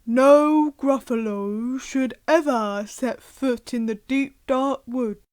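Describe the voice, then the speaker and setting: A woman doing a slow booming monster dad. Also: a little mellow. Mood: ominous. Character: big gruff male monster father, boastful.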